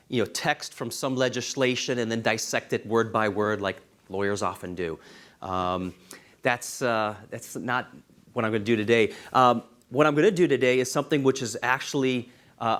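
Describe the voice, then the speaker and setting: Law prof from israel giving a seminar, earnest, punches the big numbers, middle pitch. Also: male voice, a bit bright. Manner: earnest, stresses key figures with emphasis. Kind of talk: university seminar talk.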